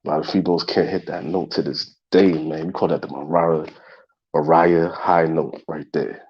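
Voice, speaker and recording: Casting: very deep-voiced congested male host in his thirties, raspy, dull lo-fi sound. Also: fairly even intonation, moderate pace. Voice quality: gravelly and husky. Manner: loose and repetitive, speaking straight to his listeners. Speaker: podcast host. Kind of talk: casual solo talk show.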